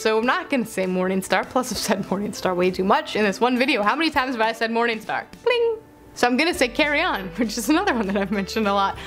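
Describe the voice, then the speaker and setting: A woman's voice: on the high side, a bit bright. A woman chatting casually as a book vlogger, playful and self-interrupting, gushing and repeating words. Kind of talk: casual chatty vlog to camera.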